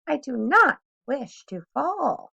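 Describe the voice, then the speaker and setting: This is an indignant little storybook fish acted out by a woman. The voice jumps up high and swings widely as it scolds and protests with bossy emphasis. The sound is slightly lo-fi. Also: big pitch swings, slow, gravelly, pushed and bright, exaggerated voice. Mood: panicked protest. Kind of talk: children's storybook read-aloud.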